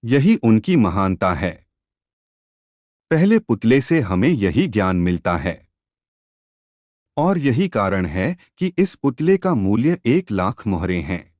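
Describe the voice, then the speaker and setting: A man voicing a wise, composed royal minister and teacher in a folk-tale audiobook, speaking in a measured, instructive, solemn manner. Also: fairly low pitch, very animated, darkish tone, dry recording, studio quality. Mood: calm, instructive.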